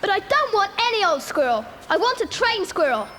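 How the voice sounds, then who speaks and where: A spoiled little rich girl in a children's audio drama. The voice is very high, sharp and animated, bossy and petulant in every demand. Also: a little fast, heavily acted. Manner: petulant, contradicting, imperious. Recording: faint hiss, 1990 cassette dramatisation.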